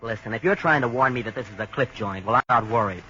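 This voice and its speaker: Guy doing a brash wiseguy, bright and bouncy voice, old radio hiss. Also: mid-range voice, quick pace, close to an everyday voice. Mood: swaggering. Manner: slangy, cocky wisecracking. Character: brash young American tourist, cocky and wisecracking. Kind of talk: 1940s radio acting. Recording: lo-fi 1940s radio broadcast with faint hiss.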